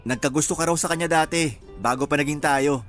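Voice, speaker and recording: An actor with a Filipino accent playing an easygoing, lightly teasing young boyfriend in a radio drama. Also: male voice, fairly high pitch, fairly quick, fairly bright tone, faint music behind, natural voice. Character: easygoing, devoted young boyfriend who teases his friend.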